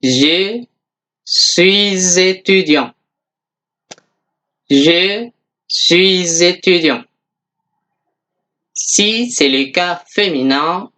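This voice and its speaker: A language teacher giving a scripted beginner lesson in a deliberate, instructional manner, repeating example phrases. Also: medium pitch, bright, forceful tone.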